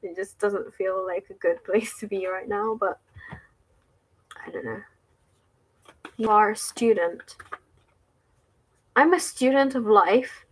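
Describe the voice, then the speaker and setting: A woman with an English accent talks loosely to her livestream viewers, reading out their comments and answering each one. She laughs often and fills her sentences with likes and restarts. Her pitch sits in an ordinary middle range. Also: slow pace, long pauses, rough recording. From England. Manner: chatty and giggly, with many likes and restarts.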